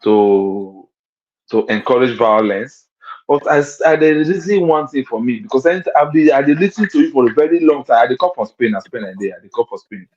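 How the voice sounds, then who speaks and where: A male caller from Nigeria speaks with a Nigerian Pidgin English accent. He talks haltingly and gropes for his words. His audio comes through a dull, muffled line. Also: mid-range voice, animated, darkish tone. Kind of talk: casual call-in chat.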